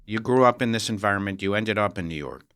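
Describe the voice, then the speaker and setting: Low-voiced man hosting. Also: fast talker, a bit bright, clean tone, dry recording, clean studio sound. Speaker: podcast host, rabbi. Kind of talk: scripted introduction and interview.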